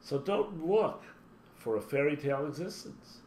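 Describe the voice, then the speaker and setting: Lively, emphatic man in a roomy space. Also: fairly high pitch, measured pace. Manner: emphatic, lively delivery with long spoken lists. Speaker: spiritual teacher. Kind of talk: motivational talk. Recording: roomy echo with faint background hum.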